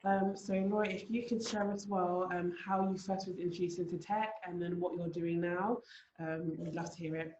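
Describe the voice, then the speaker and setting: Flat-voiced woman host in a roomy space. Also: lowish voice, moderate pace. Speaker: event host. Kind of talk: moderating a panel. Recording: roomy, dull, with faint noise.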